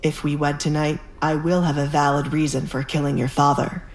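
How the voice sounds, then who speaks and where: A woman's voice: deep voice, flat delivery, brisk, a crowd in the background. A woman voicing a ruthless, powerful young duke in an audio drama, cool, self-assured and commanding. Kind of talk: audio drama narration with acted dialogue.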